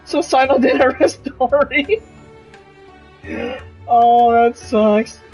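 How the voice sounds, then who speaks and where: A man's voice: very high voice, slow, slightly rough. A man giving casual livestream commentary on a game, hesitant and rambling, with sentences that trail off. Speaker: game streamer. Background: soft game music.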